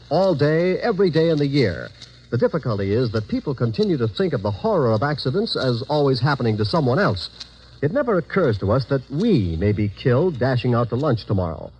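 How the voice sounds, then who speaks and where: A man's voice: mid-range voice, a little mellow, a little hiss or hum, poor audio. An American man announcing in a formal, earnest, scripted 1940s radio style.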